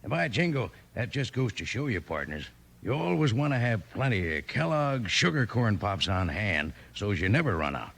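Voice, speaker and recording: An actor playing a jolly, folksy cowboy sidekick with an American Western drawl and a raspy voice, selling cereal in an old radio commercial. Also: male voice, fairly low pitch, sing-song, moderate pace, a bit bright, exaggerated voice. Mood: folksy, enthusiastic. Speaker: cereal pitchman. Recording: vintage 1950s radio recording.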